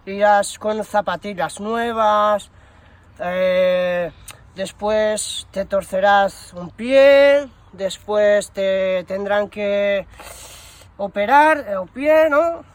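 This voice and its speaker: A high-pitched, slow-talking man acting as a bumbling promotions employee. He rambles through a prank phone call with hesitant stammers and casual slang. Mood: cheeky, deadpan. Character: bumbling shoe shop promotions employee announcing a prize. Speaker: prank caller.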